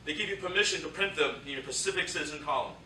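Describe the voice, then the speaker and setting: A man playing an earnest young man in a staged reading, measured and articulate. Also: fairly high pitch, pushed and bright. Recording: roomy, distant theatre recording, faint noise.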